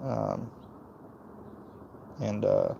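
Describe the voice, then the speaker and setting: A man with a gravelly voice hosting a casual, opinionated livestream, answering chat in a blunt, dismissive way and muttering between thoughts. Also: lowish voice, steady tone, drawn-out words, mellow, lo-fi sound, slight background noise. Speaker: livestream host reading and answering chat comments.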